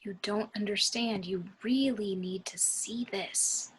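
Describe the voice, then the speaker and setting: A woman's voice: mid-range voice, slow pace, breathy edge, low-quality recording. A woman reading a tense, insistent morgue doctor in an amateur film table read, urgent in manner. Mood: hushed, urgent.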